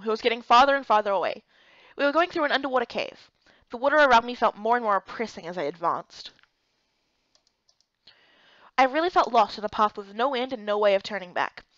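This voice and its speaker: A woman narrates a fantasy tale in the first person as a scripted story. Her voice sits fairly high and moves through very lively pitch swings, captured close and dry with a slightly muffled, lo-fi sound. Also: brisk, no room sound. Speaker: narrator and voice actor for a visual novel playthrough.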